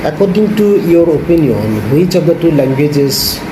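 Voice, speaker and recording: A man's voice: on the high side, expressive, moderate pace. Formal male examiner, noisy echo. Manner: formal, scripted questions. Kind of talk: mock exam questioning. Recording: echoey room, loud background noise.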